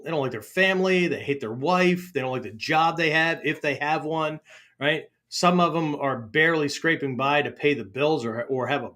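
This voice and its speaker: A man's voice: a little high, a bit bright. A middle-aged man from Pennsylvania hosting a podcast about martial arts, talking in a loose casual monologue that keeps checking in with "right?" and restarting his sentences. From Pennsylvania, United States.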